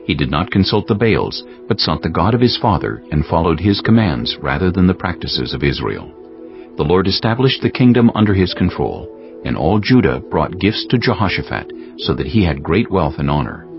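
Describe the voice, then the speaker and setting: A man narrating scripture as a scripted audiobook, in a solemn, measured storytelling manner. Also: low voice, big pitch swings, vocal fry. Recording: dull sound with music bed.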